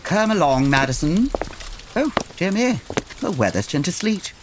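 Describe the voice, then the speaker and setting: A man playing a formal, earnest gentleman detective in an old-time radio comedy drama, with old-fashioned exclamations. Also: high voice, big pitch swings, normal speed, background noise. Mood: brisk.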